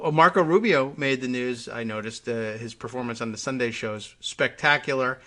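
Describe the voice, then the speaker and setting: A man's voice: medium pitch, sharp tone. A man hosting a casual political talk show, reacting wryly and chatting. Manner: wry, interjecting reactions. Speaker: show host.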